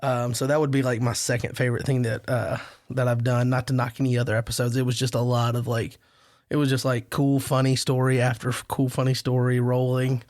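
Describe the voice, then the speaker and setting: A man's voice: medium pitch, flat delivery, brisk, darkish tone, no echo, clean studio sound. A man hosting a casual podcast roundtable, telling stories in a rambling, self-deprecating way. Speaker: podcast host.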